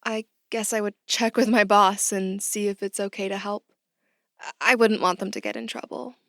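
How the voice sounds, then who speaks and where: A woman playing an earnest, soft-hearted job candidate in a naturalistic audio drama, tentative and thinking aloud. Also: medium pitch, fairly even intonation, normal speed, no room sound, studio quality, close to an everyday voice. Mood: hesitant, earnest.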